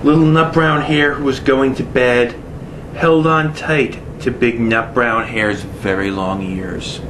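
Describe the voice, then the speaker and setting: A man reading a children's bedtime story aloud in a playful, deliberate, tongue-in-cheek way. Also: mid-range voice, fairly even intonation, noisy background. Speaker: storybook reader.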